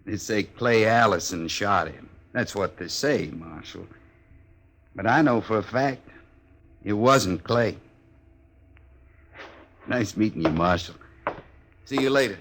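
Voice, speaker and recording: An American actor playing a cocky drifting cowboy who needles with false friendliness and folksy talk in a 1950s Western radio drama. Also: male voice, fairly low pitch, expressive, slow pace, pauses often, a bit bright, a little hiss or hum, full character voice. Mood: sly, taunting. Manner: taunting, drawling folksy talk.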